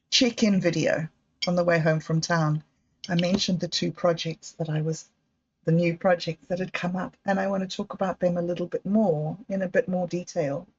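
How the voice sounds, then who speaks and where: A woman talks into her phone in a slow, musing vlog. She drifts between thoughts, turns emotional, and laughs at herself along the way. The sound is a bit muffled and lo-fi. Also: on the low side, husky. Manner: musing, emotional, digressive, with self-mocking laughs. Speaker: vlogger. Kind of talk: reflective personal vlog monologue.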